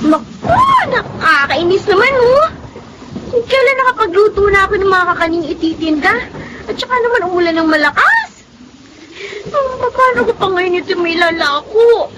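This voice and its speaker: A woman's voice: very high voice, expressive, normal speed, noisy background. An actress playing a hardworking young woman who sells rice cakes, earnest, plaintive and polite, in a Filipino radio drama. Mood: exasperated. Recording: background music under the voice.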